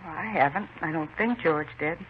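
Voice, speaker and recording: A woman's voice: sits low, moderate pace, lightly acted. A woman playing a newly widowed wife, reserved and guarded, with short answers, in a 1950s radio drama. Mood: subdued, guarded. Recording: muffled 1950s radio recording, faint noise.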